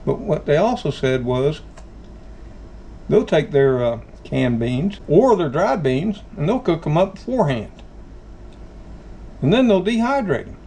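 Southern American man, middle-aged, gravelly and slightly high; folksy home-kitchen host, faint room noise. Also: big pitch swings, a little slow, pauses often, dark tone. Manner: folksy, addresses viewers as folks. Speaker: channel host. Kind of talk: casual how-to talk.

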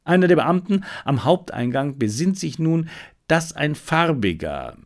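A man's voice: a little high, very animated, measured pace. A man reading a detective story aloud as a scripted audiobook narration.

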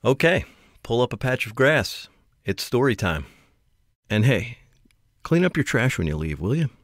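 A man's voice: mid-range voice, very animated, normal speed, dry recording. Male podcast host, mid-range voice, scripted intros with a playful touch.

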